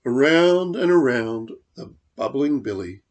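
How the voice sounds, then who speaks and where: Slow, expressive man reading a storybook. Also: mid-range voice. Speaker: storybook reader. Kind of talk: expressive children's story narration.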